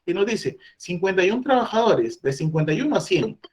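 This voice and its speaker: A man's voice: high-pitched, big pitch swings, a little fast, a little mellow. A middle-aged man giving a training talk on labor inspections, explaining step by step and voicing the questions an employer might ask. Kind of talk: online training presentation.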